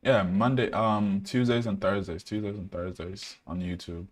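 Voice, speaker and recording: Deep-voiced laid-back young man. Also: normal speed. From Ghana. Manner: relaxed, laid-back, many fillers, reads out chat questions. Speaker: day trader streaming live. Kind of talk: casual live-stream chat.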